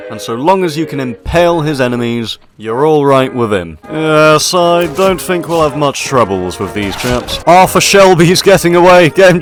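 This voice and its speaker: A man narrates his gameplay in the pompous tone of a stuffy officer, posh and full of bluster, with dry asides. His voice sits at a middle pitch. Game music plays clearly behind him. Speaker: gaming video host. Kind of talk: in-character gameplay commentary.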